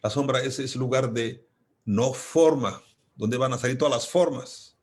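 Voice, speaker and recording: A man from Congo with a Congolese accent, giving a reflective philosophical talk as an invited speaker, deliberate and emphatic, repeating key phrases. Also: mid-range voice.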